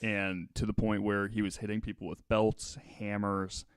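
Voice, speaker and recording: Low, smooth-voiced man telling a story. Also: flat delivery, normal speed, no echo, clean studio sound. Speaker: podcast host telling the story. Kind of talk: casual storytelling with banter.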